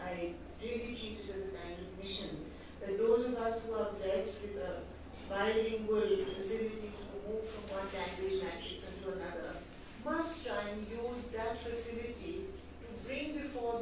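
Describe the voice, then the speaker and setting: An older woman, a Hindi speaker, speaking in a measured, reflective way as an author in conversation. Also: medium pitch. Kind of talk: reading aloud then conversational talk. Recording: echoey hall picked up from far off with background noise.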